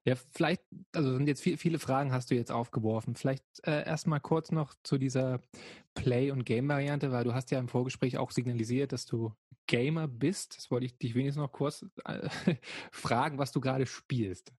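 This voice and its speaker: A man's voice: mid-range voice, fairly even intonation, normal speed, a little mellow, slightly rough, dry recording. A man hosting a podcast interview who asks casual follow-up questions and gives brief prompts. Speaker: podcast host.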